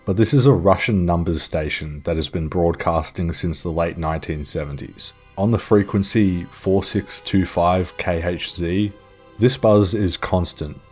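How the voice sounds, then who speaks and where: A man's voice: low-pitched, a little slow, a little mellow, breathy edge. A man narrating an explainer in a casual, informal and offhand way. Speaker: video host and narrator. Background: music.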